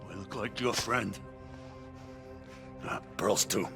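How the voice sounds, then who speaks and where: A man's voice: fairly low pitch, slow, vocal fry, music in the background. An actor playing a menacing, violent street mugger in a video game, snarling out his demands. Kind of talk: video game cinematic acting.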